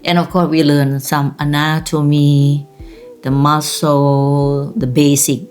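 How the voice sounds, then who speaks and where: Slow, low-voiced Thai-accented woman. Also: little pitch movement, darkish tone, faint music behind. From Thailand. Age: 50s. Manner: rambling, laughs while telling stories. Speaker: vlogger. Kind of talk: casual personal storytelling.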